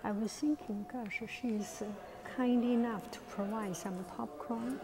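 Slow, hushed woman touring a museum. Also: a little high, fairly even intonation. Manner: critical, hesitant, whispering at times. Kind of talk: casual spoken commentary. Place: indoor art museum gallery. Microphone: earphone mic, phone camera held at arm's length.

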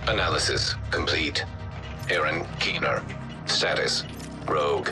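A man voicing an impassive analysis computer in a video game, giving flat, clipped system readouts. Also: extremely low pitch, a little slow, music in the background. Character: impassive male surveillance analysis computer announcing results.

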